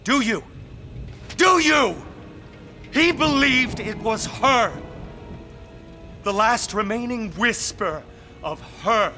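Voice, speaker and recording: A proud, bitter lord from a period audio drama. His voice is notably high for a man, with formal aristocratic phrasing and intense delivery. Music plays behind him. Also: bright, forceful tone, full character voice. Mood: anguished regret.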